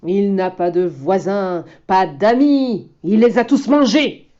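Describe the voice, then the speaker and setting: A woman's voice: mid-range voice, takes it slowly, darkish tone, lightly acted. A woman voicing three blunt, chatty bears who explain things emphatically in a storybook reading, on a slightly dull recording.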